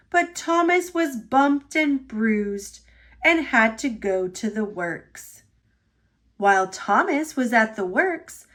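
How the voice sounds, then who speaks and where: A woman reading a children's storybook aloud as narrator, with expressive delivery. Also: fairly high pitch. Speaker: storybook reader.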